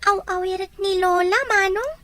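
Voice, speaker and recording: A woman's voice: very high voice, steady tone, normal speed, a bit bright, dry recording. A woman voicing a caring, curious little girl who asks questions in a childlike way, in an audio drama. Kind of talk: amateur audio drama acting.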